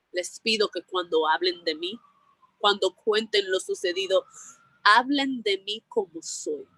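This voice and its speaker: A woman performing a bilingual Shakespeare monologue outdoors as a remorseful general facing death, in a measured, solemn delivery. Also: medium pitch, bright, forceful tone, no echo, heavily acted, low-quality recording. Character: man, a remorseful general confessing before death.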